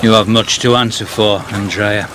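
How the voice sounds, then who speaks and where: An actor playing a grim male servant and accuser set on vengeance, forceful and deliberate, in a radio drama. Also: on the low side, steady tone, heavy background noise, close to an everyday voice. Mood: grim, vengeful. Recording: loud music and crowd behind.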